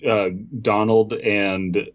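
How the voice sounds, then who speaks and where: A man's voice: fairly low pitch, level tone, very slow. A man co-hosting a comedy podcast, chatting casually in a rambling, riffing way. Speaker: podcast co-host. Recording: slightly dull, lo-fi voice recording.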